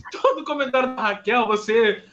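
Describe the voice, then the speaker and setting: A man's voice: very high voice, a bit bright. A man with a Carioca accent co-hosting a live stream, joking and teasing the guest. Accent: Carioca Portuguese. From Rio de Janeiro, Brazil. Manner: joking, quick to laugh. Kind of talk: casual chat, teasing. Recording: dull lo-fi stream audio with faint noise.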